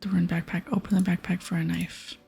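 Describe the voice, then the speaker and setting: A woman whispers her way through an ASMR game stream. Her voice is breathy and husky with a creaky edge. She mixes read-aloud game text with casual commentary over quiet music. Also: on the low side, level tone, fairly quick.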